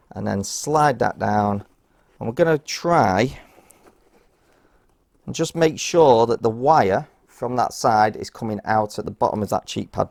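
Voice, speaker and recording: A man's voice: mid-range voice, vocal fry, a little mellow. A man talking through a hands-on task step by step in an informal, practical, instructional way. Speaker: presenter of a how-to product guide. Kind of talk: hands-on step-by-step tutorial narration.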